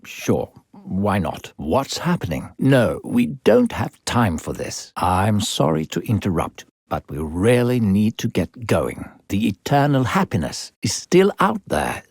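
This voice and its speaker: A sinister video game demon narrator speaks in a deep, husky voice. The delivery swoops theatrically from sugary coaxing to controlling menace, with gravel in the tone and a close, dry sound. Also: male voice, wildly swooping pitch, unhurried, no echo, heavily acted. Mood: coaxing, insistent. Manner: falsely sweet, theatrical, condescending, controlling.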